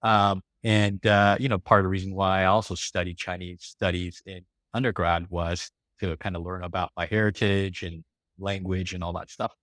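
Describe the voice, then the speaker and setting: A man's voice: low voice, level tone, moderate pace, a bit bright, no room sound. A man in his forties with an American accent, telling his life story as a podcast guest, casual and rambling with many fillers. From California.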